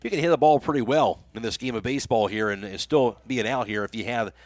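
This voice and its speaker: Male radio sportscaster for baseball play-by-play and sponsor reads; mid-pitched voice, dry close sound. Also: fast talker, no room sound. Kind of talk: baseball play-by-play commentary with read sponsor spots. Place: live radio broadcast from a ballgame.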